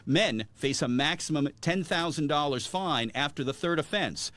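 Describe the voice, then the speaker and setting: A man reporting local news in an even, formal scripted delivery. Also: a little high, moderate pace.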